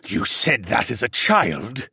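A proud reptilian regent for a fantasy audiobook, set apart from plain speech by a slow, bellowing delivery. He swings widely in pitch with vengeful indignation, recorded close and dry. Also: big pitch swings, gravelly, sharp tone, slightly rough, no echo, exaggerated voice. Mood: suspicious. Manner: bellowing, drawn-out wailing indignation.

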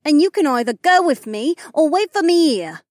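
A woman's voice: medium pitch, lively intonation, moderate pace, no room sound, clean studio sound, close to an everyday voice. A woman voicing a proud, wounded elf noblewoman and sword warrior in an audiobook. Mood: alarmed, stubborn. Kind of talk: audiobook character dialogue.

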